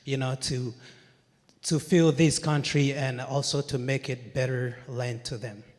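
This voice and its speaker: A man telling his refugee story at a citizenship ceremony in earnest, halting speech with many fillers. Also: medium pitch, fairly even intonation, darkish tone. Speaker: new citizen, client advocate. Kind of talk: personal testimony. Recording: handheld mic in a reverberant hall.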